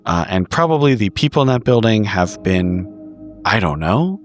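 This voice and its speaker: A man playing a tabletop roleplaying game, improvising casual in-character talk in a halting, sweary way. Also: lowish voice, sing-song, soft background music.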